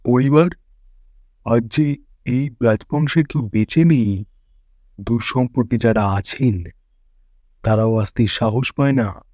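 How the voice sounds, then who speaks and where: A man's voice: mid-range voice, slow, pauses often, a little mellow, no room sound, close to an everyday voice. A man voicing a grave palace guard who gives foreboding warnings of a ghost in a horror story.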